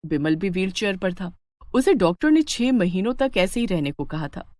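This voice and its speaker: A woman's voice: fairly low pitch, sing-song, normal speed, a little mellow, no room sound. Woman narrating a moral story, dry sound.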